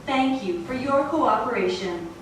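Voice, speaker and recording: A woman reading a formal, measured, scripted public address announcement, played through an intercom speaker. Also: high voice, lively intonation. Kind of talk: scripted pre-recorded announcement. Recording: very echoey intercom playback, distant, faint noise.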